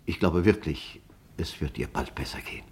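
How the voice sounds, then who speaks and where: An actor playing a formal, reassuring family doctor in a German radio crime play, courteous and measured. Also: male voice, extremely low pitch, breathy edge.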